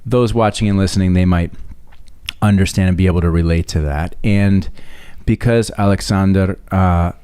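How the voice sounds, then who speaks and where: A man hosting an interview podcast, talking in a measured, hesitant way with many restarts. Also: deep voice, steady tone, dark tone, clear, resonant tone. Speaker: podcast host. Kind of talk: casual interview talk.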